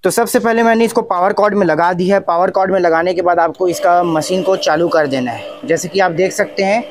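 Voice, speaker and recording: A man's voice: sits high, brisk, soft background music. A young man narrates a product demonstration vlog in a repetitive, instructional manner. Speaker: tech review channel host.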